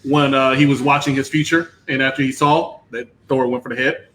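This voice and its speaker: A man's voice: medium pitch, flat delivery, fairly quick, fairly bright tone, low-quality recording. A man on a film review panel giving his opinions in casual, bantering talk with friends.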